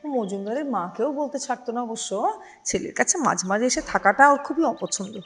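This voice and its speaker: A woman's voice: fairly high pitch, lively intonation, normal speed. Woman voicing an easygoing, simple talking blue shirt. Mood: gossipy. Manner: chatty, reassuring, plain-spoken.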